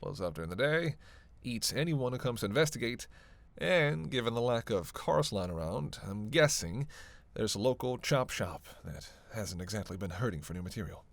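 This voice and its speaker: A man playing a snarky, self-serving male vampire who teases the listener in a casual, sarcastic roleplay audio drama, with a creaky voice. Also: fairly low pitch, animated, moderate pace, no echo. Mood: wry explaining. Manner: casual, sarcastic, teasing, cursing.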